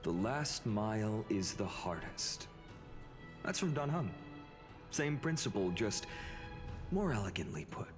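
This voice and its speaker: An actor playing a young male hero in a video game, earnest and gently wry with his comrades. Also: lowish voice, sing-song, measured pace, long pauses. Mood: calm, wry. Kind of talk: scripted video game acting. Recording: game dialogue under loud music.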